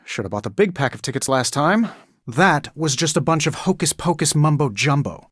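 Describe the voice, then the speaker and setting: A man's voice: on the high side, lively intonation, moderate pace, no echo, clean studio sound. A male actor voicing a cocky, wisecracking billionaire superhero inventor for a video game, with an American accent and a sarcastic, glib delivery. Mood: wry, breezy.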